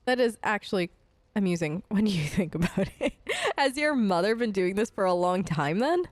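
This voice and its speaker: A woman's voice: mid-range voice, lively intonation, moderate pace, dry recording. A woman improvising casual in-character chat for a role-play let's play, informal and slangy. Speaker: gamer role-playing her elf character.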